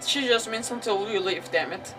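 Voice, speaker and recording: A woman's voice: medium pitch, moderate pace, over music. Casual woman streamer, slightly off-mic. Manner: animated reactions, rambling, mock-arguing with characters. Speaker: game streamer. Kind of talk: casual live gameplay commentary. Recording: slightly distant mic, faint background noise.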